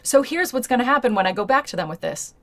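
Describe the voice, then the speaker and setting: A woman's voice: a little high, animated, fast talker, fairly bright tone. A woman playing a wary, questioning reporter in a naturalistic audio drama, hesitant and easily flustered. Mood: hesitant, measured. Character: skeptical, flustered female reporter meeting a secret source.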